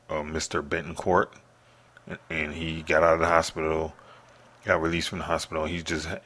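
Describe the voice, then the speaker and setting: A man with a deep voice keeps his volume down as he hosts a solo show from his apartment. His delivery is monotone but edged and forceful, and he rambles, stopping and restarting his sentences. The tone stays hushed and halting throughout. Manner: speaks softly, hushed; halting, many restarts and fillers. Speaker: podcast host. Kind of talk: rambling solo podcast monologue.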